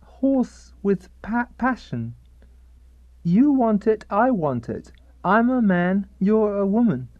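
A woman's voice: mid-range voice, big pitch swings, dry recording, dull audio. A woman playing a literal-minded reader in a radio comedy, stumbling as she sounds out words of romance fiction. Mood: halting reading. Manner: halting reading, sounding words out.

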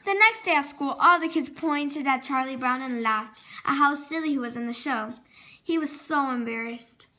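Bright, high-pitched female storybook reader. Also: normal speed, slight background noise. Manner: expressive, stumbles and corrects words while reading. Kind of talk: reading a children's storybook aloud.

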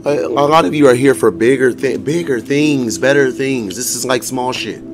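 A hoarse man giving a casual tarot reading to viewers, blunt and profane, often laughing as he talks. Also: medium pitch, moderate pace. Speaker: tarot reader. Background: music.

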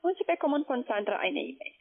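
A woman call centre agent, not a native speaker, giving polite, halting instructions over the phone. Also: sits high, lively intonation. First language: not German. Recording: rough narrowband phone line.

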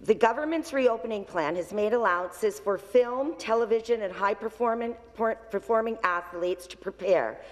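A woman's voice: fairly high pitch, in a large hall. Woman reading a question in chamber. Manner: measured, reading from notes. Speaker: opposition member of a legislature. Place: large legislative chamber. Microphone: desk microphone.

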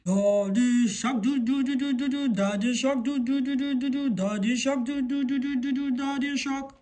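A woman's voice: high-pitched, steady tone, normal speed, dark tone. A woman reading a children's picture book aloud, breaking into sing-song refrains. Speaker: read-aloud story presenter.

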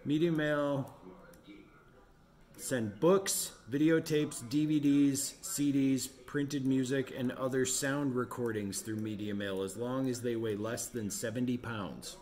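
A man in his forties talking casually to his vlog camera indoors, musing and halting as he thinks aloud. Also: medium pitch, fairly even intonation, a little mellow. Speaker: vlogger running a home resale business.

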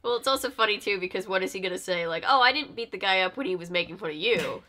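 A woman's voice: mid-range voice, expressive, quick pace, sharp tone, slight background noise. A woman in her twenties reacting casually in conversation, laughing and acting out imagined dialogue. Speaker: reaction video co-host. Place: small cosy lamp-lit room indoors.

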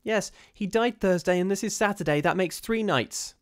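Man putting on a shrill, frantic, frightened woman. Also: moderate pace, clear, resonant tone, close to an everyday voice. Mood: fearful, insistent. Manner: shrill, frenzied, wailing. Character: terrified, wailing, frantic woman, stubbornly fearful sister.

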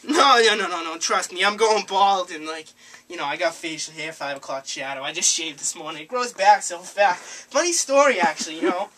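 Young guy doing a prank call, hesitant and rambly, sharp bright voice, bit of noise on the camera mic. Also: sits high, brisk. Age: young adult. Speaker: prank caller. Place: small cluttered room indoors. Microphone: handheld camera a few feet away. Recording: noisy amateur camera audio, clicks.

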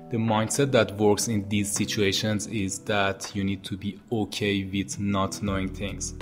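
A man in his thirties with an Iranian accent, calmly giving scripted advice to camera as host of a tutorial channel, speaking into a boom-arm mic in his home studio. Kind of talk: scripted educational talk to camera. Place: home studio with monitors and posters. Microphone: boom-arm podcast mic. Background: soft background music.

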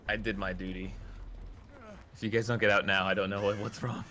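A man's voice: fairly low pitch, little pitch movement, a little fast, sharp tone, a little hiss or hum. A man bantering casually with friends in a group voice chat during a game. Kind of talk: casual banter while gaming.

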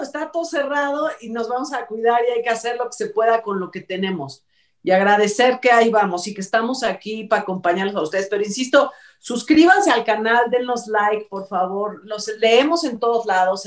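A woman's voice: on the high side, brisk. A middle-aged woman with a Mexico City accent, hosting a casual panel chat in a chatty, rambling way. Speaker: show host. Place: home room, indoors. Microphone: video call, off the mouth. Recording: roomy, distant home video-call sound.

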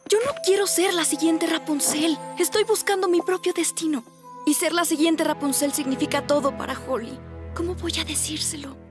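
A woman's voice: sits high, fairly even intonation, moderate pace, close to an everyday voice. A woman playing a reluctant, secretive teenage rebel in a cartoon dub, hesitant and earnest, with a Latin American Spanish accent. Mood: troubled. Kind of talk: animated cartoon dub acting. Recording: loud music behind the voice.

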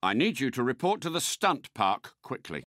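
A man's voice: medium pitch, big pitch swings, moderate pace, a bit bright, no echo, studio quality. A man playing a suave British secret agent car in a video game, polite and unflappable, with clipped, precise diction. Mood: composed, courteous.

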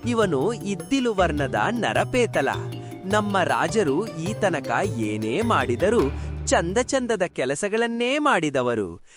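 A man's voice: sits high, sing-song, slow, exaggerated voice. A man playing a foolish, deferential royal minister in a cartoon folktale, pleading his case with emphasis. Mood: fawning, urging. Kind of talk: cartoon storybook character acting. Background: background music.

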